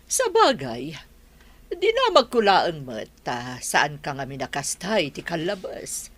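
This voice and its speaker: A woman's voice: low-pitched, very animated, a little slow, a bit bright, husky, no room sound, heavily acted. A woman playing a mature, bossy and sceptical businesswoman mother in an Ilocano Filipino radio drama. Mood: questioning, sceptical.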